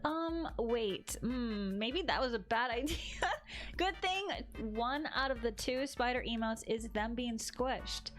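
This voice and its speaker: A young woman streaming her drawing, chatting bubbly and giggly with viewers, a bit hoarse from losing her voice. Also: a little high, lively intonation, takes it slowly. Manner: bubbly, giggly, playful sound effects. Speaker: art streamer. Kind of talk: casual chat reading viewer messages. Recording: music playing underneath. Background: background music.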